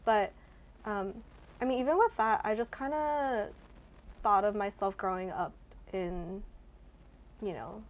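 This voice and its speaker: A woman's voice: medium pitch, a little slow, long pauses. A young woman from California who grew up with Korean as her first language. She talks in an easygoing, slightly hesitant way. Her sentences are loose and full of small fillers. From California, United States. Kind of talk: casual conversation.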